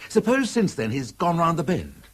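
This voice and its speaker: Gruff British police boss, very animated. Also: male voice, on the high side, moderate pace. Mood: suspicious, musing. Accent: British English. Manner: brusque, commanding, musing aloud. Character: gruff, domineering senior police detective, a man. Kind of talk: British radio drama acting.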